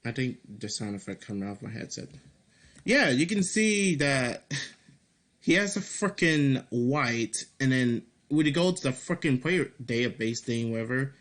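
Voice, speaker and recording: A man with a medium-pitched voice talks through his game in a casual devlog. His delivery is slow and halting, often restarting phrases and repeating words. He drops in mild swearing for emphasis. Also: animated, a bit bright. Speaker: game developer making a devlog. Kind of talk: casual screen-recorded commentary, reading and answering feedback.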